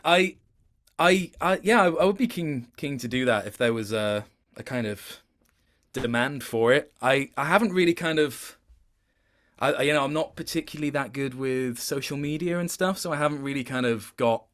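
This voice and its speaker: A man with a bright, forward voice and mid pitch talks casually as an interview guest. He rambles hesitantly, repeating words and interrupting himself as he goes. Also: animated, normal speed. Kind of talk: casual chat.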